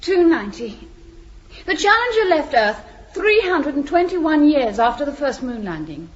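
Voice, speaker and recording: An actress playing a quick-witted, questioning starship crew member in a science fiction radio drama. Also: female voice, high-pitched, very animated. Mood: alert, concerned. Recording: dull band-limited old radio recording.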